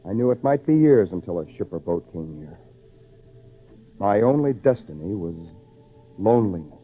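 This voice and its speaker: An actor playing a lonely, earnest castaway in an old radio drama, with theatrical, deliberate phrasing. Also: male voice, on the low side, very animated, husky, faint music behind. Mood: brooding, hopeless. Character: lonely castaway man, brooding, earnest, excitable. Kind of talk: old time radio drama narration and acting. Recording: tinny, noisy old radio recording.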